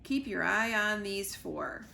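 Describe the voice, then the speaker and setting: A woman playing a laid-back retired rescue dog narrating a storybook, dry and a little weary, with a lively, animated lilt. Slow and bright, with a gravelly edge in an echoey room. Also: mid-range voice, slight background noise, full character voice.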